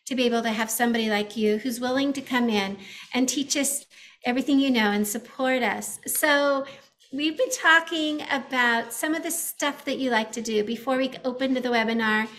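A woman with a somewhat high voice hosts a community webinar over a video call. She introduces her guest in an earnest, welcoming way and puts questions to her. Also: normal speed, fairly bright tone. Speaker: webinar host. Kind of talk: hosting an interview.

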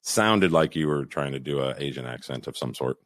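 A man's voice: rumbling low, lively intonation, brisk, fairly bright tone, no room sound, clean studio sound. A man, co-hosting a podcast in a casual, rambling way full of restarts. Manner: rambling, many restarts and fillers. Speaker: podcast co-host. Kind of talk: casual chat.

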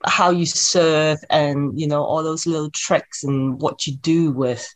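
A woman's voice: low voice, fairly even intonation, unhurried. Chatty Hong Kong woman, podcast guest. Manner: chatty, with many fillers and restarts. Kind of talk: podcast interview.

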